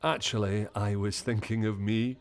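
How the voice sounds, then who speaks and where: An actor playing a cheeky, easygoing pub regular with a Scottish accent in a radio soap. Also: male voice, fairly low pitch, fairly even intonation, moderate pace, gravelly. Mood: helpful, sly. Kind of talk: radio soap opera acting.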